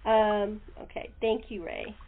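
Slow-paced American woman hosting, phone-like sound. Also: mid-range voice, wildly swooping pitch, gravelly. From California, United States. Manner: halting, repeats words. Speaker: host. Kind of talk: casual interview chat. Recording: narrow phone-like call audio.

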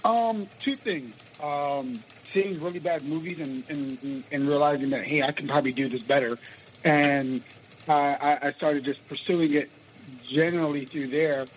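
A raspy-voiced young man from the United States, an actor answering interview questions in a casual, rambling way with many restarts. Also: on the high side, a little slow, a little hiss or hum, low-quality recording. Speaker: actor guest.

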